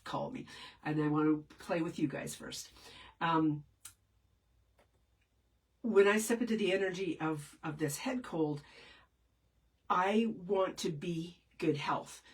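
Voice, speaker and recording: A woman's voice: low-pitched, measured pace, long pauses, recorded from a distance, low-quality recording. A woman with a head cold, answering viewer questions as a spiritual teacher in a casual, meandering live session. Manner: meandering, many fillers and restarts.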